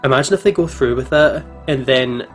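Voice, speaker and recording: A man's voice: mid-range voice, a little fast. A man reading a visual novel aloud as a let's play host, reacting expressively, with music behind him. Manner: expressive, emotionally invested reactions.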